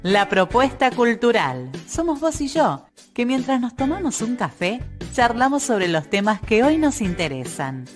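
Lively woman announcer over music. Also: fairly high pitch, measured pace. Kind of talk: scripted promo narration.

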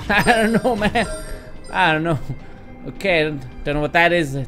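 A man's voice: on the high side, lively intonation, unhurried, pushed and bright. A man in his thirties giving casual, swearing gameplay commentary to viewers from a room at home. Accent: put-on Texas drawl. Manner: swears freely, talks to viewers, bursts of frustration. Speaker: gameplay streamer. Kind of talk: casual let's play commentary. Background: game music.

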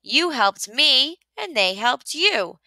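Female reader as pompous big male dump truck, picture-book rhyme, bright, blustering delivery. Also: sits high, very animated, slow pace, no echo, full character voice. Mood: grateful, humbled.

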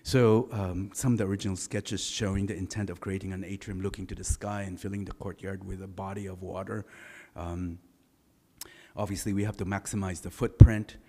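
A middle-aged man with a lowish baritone speaks at a podium microphone, relaxed and anecdotal, often pausing on "uh" and "um". His voice carries a long reverb from a large lecture hall. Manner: relaxed, anecdotal, many filler sounds. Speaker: architect giving a lecture. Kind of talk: conversational design lecture.

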